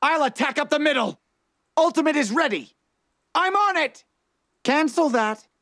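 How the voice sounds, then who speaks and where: A man's voice: extremely high pitch, lively intonation, normal speed, pushed and bright, no echo, studio quality, exaggerated voice. A man voicing a manic, mischievous little male fox mad scientist in a video game, calling out short theatrical lines. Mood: excited, urgent. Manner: exclamatory, theatrical short barks.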